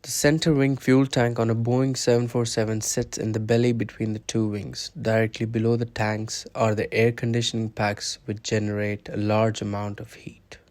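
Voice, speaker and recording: Even, flat-pitched male documentary narrator. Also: moderate pace, few pauses.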